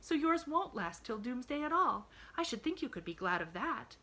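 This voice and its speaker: A woman's voice: high-pitched, very animated, normal speed, sharp tone, voice pushed up, full character voice. A woman voicing an eager, talkative, glad little girl from a storybook, rambling earnestly with a rising inflection. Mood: cheerful.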